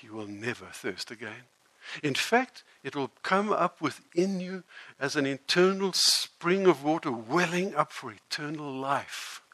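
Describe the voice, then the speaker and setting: A man voicing a calm, gentle male teacher, speaking softly and in a measured way. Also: on the high side, no echo, exaggerated voice, poor audio. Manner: soft, measured and unhurried.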